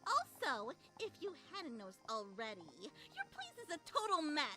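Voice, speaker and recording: A haughty young cartoon pony played by a woman, high and very animated in pitch. She is snippy and scornful, with a lonely guardedness underneath. Music plays behind her. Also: normal speed, full character voice. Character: bratty young filly pony, haughty, complaining, secretly lonely.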